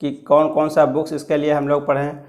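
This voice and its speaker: A man's voice: on the high side, completely flat delivery, a little fast, a little mellow. A man with an Indian accent explaining exam guidance as a coaching teacher, repeating and rephrasing his points in two languages. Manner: repeats and rephrases points, switching between Hindi and English.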